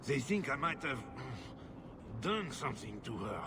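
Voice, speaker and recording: A man's voice: medium pitch, sing-song, brisk, noisy background, lightly acted. A man voicing a pompous, self-pitying nobleman in a video game, haughty and given to indignant complaint. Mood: exasperated, defensive. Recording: music behind the voice.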